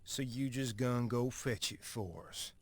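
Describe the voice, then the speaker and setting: An actor playing a skeptical, defiant Old West gunslinger in a video game, folksy and confrontational. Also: male voice, mid-range voice, unhurried, slight background noise, close to an everyday voice. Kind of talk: dramatic video game cutscene acting.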